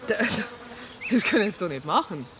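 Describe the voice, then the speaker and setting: A woman's voice: on the low side, sing-song, normal speed, background noise. An actress playing an earnest, aggrieved woman who narrates events in a conversational, detailed way with a southern German accent. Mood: indignant. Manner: conversational, detailed, exasperated storytelling. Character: earnest, aggrieved female doctor recounting a police case. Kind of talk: first-person radio drama narration.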